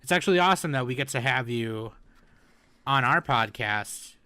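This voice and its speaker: A woman with a bright, forceful voice and a very dry, close sound hosts a casual interview. Her manner is informal and teasing, with some swearing. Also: rumbling low, expressive, moderate pace, no echo. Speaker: podcast host.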